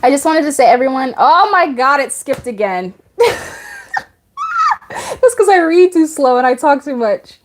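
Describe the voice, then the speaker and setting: Chatty twenty-something woman on a live stream, laughs a lot, reads comments out loud. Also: sits high, expressive, a little slow, long pauses, slight background noise. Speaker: live stream host reading viewer comments. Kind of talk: casual live stream chat.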